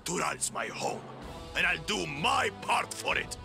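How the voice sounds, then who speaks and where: A man's voice: a little high, sing-song, unhurried, full character voice. A man playing a hearty, loyal warrior in a video game cutscene. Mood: approving. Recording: music clearly behind the voice.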